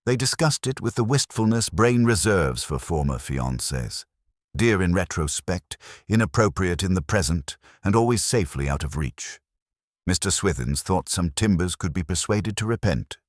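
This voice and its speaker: Male narrator for a wry mystery novel: very deep, formal, lively intonation, close dry sound. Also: normal speed, dry recording. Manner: formal, dryly ironic. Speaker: narrator and reader. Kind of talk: scripted literary mystery narration.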